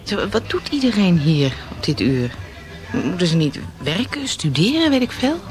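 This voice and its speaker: Dry, low-key policewoman. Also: very animated, normal speed, soft background music. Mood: relaxed, dry. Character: composed, dryly witty policewoman questioning a witness. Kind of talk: naturalistic radio drama acting.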